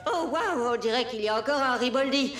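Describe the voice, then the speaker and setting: A woman voicing a showy, gushing karaoke contest presenter in an animated cartoon, announcing in drawn-out flourishes. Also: high voice, roomy sound.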